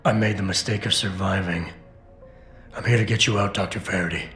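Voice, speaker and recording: An American actor playing a stoic, gravel-voiced cyborg security operative in a video game, terse and deadpan. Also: male voice, deep voice, brisk. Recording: roomy game audio with music.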